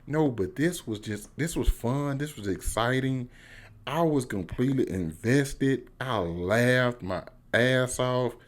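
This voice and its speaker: Casting: American male vlogger, mid-pitched, informal and excitable, slang-heavy, off-the-cuff review delivery. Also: slow pace. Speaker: YouTube movie reviewer. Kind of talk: casual one-take vlog review.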